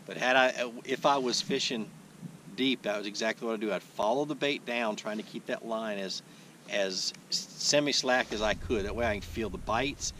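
A man's voice: lowish voice, moderate pace, a little hiss or hum. A man in his thirties hosting a fishing video, talking casually through his technique while he fishes from a kayak on a lake, recorded on a boat-mounted action camera. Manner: relaxed, explains while casting, frequent restarts. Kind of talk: casual how-to narration. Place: kayak on an open lake outdoors.

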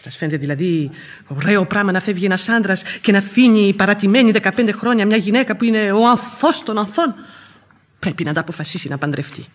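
A husky-voiced old housekeeper played by an actress in a radio drama. She is outspoken and argumentative, grumbling bluntly yet fiercely devoted. Her voice sits at a middling pitch. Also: lightly acted. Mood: exasperated grumbling. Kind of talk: Greek radio theatre acting.

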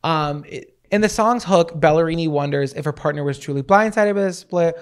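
A man in his thirties with a raspy voice talks into a handheld mic in a small home studio. He chats eagerly and at length about a favorite record, like a co-host running a casual podcast segment. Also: a little high, brisk. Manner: chatty and enthusiastic, gushes about music. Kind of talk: casual chat. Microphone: handheld microphone.

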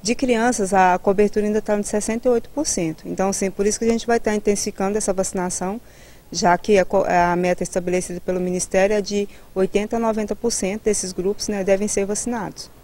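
A woman in her thirties with a Brazilian Portuguese accent explains things as a health official in an interview. Her voice is dark and soft-edged, at a middling pitch. She speaks haltingly, dropping in tag words, into a handheld mic outdoors. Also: steady tone. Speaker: public health immunization director. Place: outdoors in a building's garden. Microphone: handheld reporter microphone held toward her.

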